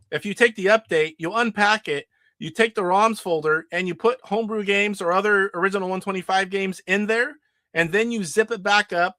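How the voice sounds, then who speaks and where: Sick, nasal man with a high voice. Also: pushed and bright, no room sound. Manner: rambling, demonstrating games live. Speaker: retro gaming show host. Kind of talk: casual live stream chat.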